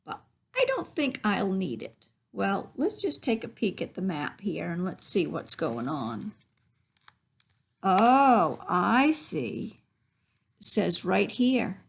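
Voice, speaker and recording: A woman reading a children's story expressively, with chatty asides to young listeners about the pictures. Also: mid-range voice. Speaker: library storytime reader. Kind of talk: picture-book read-aloud with chatty asides.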